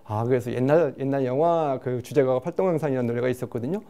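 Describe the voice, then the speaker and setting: A man's voice: mid-range voice, fast talker, clear, resonant tone. A man lecturing a studio panel on regional dialects in songs, putting questions to his listeners. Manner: animated, asks the audience questions.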